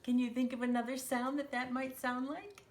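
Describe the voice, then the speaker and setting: A woman's voice: sits high, little pitch movement, normal speed, a little hiss or hum. A woman hosting a children's storytime, talking simply and encouragingly to young children as she demonstrates a craft. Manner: refers to herself in third person.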